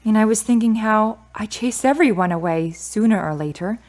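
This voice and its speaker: A woman's voice: medium pitch, normal speed, darkish tone, lightly acted. A woman playing a candid, reflective, grieving daughter of about thirty in a radio drama. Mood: subdued, candid.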